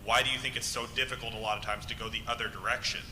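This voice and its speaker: A man's voice: little pitch movement, rapid-fire, sharp tone. A man in the audience casually asking a question at a live panel in a large hall. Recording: echoey hall microphone with low rumble.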